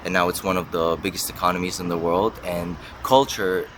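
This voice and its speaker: A man's voice: sits low, steady tone, a little fast, noisy background. A young man giving casual interview answers as a university student, thinking aloud and hedging as he goes. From Russia and the US. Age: young adult.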